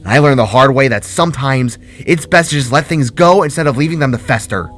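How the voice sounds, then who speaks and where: A man's voice: medium pitch, fairly quick, a bit bright, soft background music, close to an everyday voice. A man voicing a hostile, hurting female spark with ice powers in a story, stern, barking and sarcastic.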